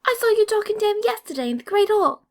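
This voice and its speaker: Woman acting a squeaky, indignant schoolboy. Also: very animated, quick pace, heavily acted. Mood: angry, confrontational. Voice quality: sharp, squeaky. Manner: sputtering, confrontational. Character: angry, blustering red-haired schoolboy, squeaky and indignant.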